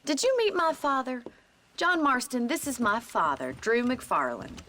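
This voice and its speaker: A bright, lilting rancher's daughter. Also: female voice, a little high, big pitch swings, a little slow, a little hiss or hum. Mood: friendly. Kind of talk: Western video game acting.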